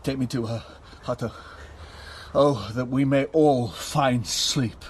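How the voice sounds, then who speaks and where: A man's voice: mid-range voice, slow pace, slight background noise, lightly acted. An actor playing a fussy, pompous older male professor with a drawling manner in a radio drama.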